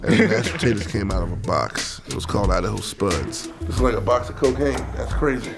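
A comedian cracking rapid, exaggerated jokes in a produced comedy video. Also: male voice, on the low side, lively intonation, creaky. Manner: joking, exaggerated, rapid punchlines. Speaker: comedian on a reality skit. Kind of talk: comic commentary in a produced video. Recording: played through a video with music behind.

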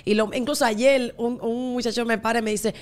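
A woman's voice: on the high side, steady tone, a little fast. A young woman with a Dominican accent, chatting as a guest on a studio podcast and slipping English words into her Spanish. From United States, Miami area. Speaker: guest, singer. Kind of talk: casual podcast interview. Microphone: studio microphone on stand.

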